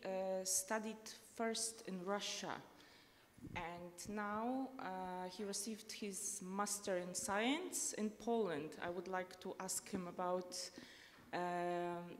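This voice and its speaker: A woman hosts a panel discussion, speaking slowly and stopping often for ums and uhs. Her voice sits in a middle pitch. It carries a long echo from a large conference hall. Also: a little hiss or hum. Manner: slow, hesitant, many fillers. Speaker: moderator.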